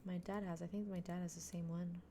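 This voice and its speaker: Chatty woman streamer, lowish voice. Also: flat delivery, a little fast, dark tone, slight background noise. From Montreal, Quebec, Canada. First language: French. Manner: chatty, thinking aloud, self-deprecating asides. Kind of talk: casual chat while gaming.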